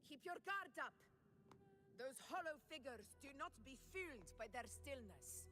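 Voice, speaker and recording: A woman's voice: sits high, animated, a little slow, bright, forceful tone, faint music behind, heavily acted. A woman playing a proud, resolute female warrior leader in a dramatic video game, speaking in a stately, declamatory way. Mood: brisk, commanding.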